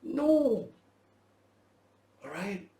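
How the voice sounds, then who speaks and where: A man preaching a Bible lesson as a teacher, in emphatic short phrases with rhetorical questions and a gravelly voice. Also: high-pitched, very animated, extremely slow, warm tone, a little hiss or hum. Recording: roomy, echoing sound.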